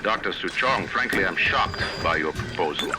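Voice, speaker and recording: An actor playing a middle-aged, cold and proud industrialist ruler in a video game, speaking in formal, pompous, deliberate monologues. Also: male voice, deep voice, expressive, loud music behind the voice. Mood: grave deliberation. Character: imperious male industrialist ruler of an undersea city, cold and proud.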